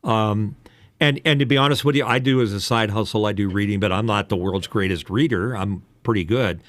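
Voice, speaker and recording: A man's voice: lowish voice, brisk, a bit bright, dry recording. A man in his late sixties chatting casually like a coach, giving candid, rambling explanations as a seasoned announcer and host. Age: late 60s. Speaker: voice-over announcer and podcast host. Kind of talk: casual chat, coaching on voice-over.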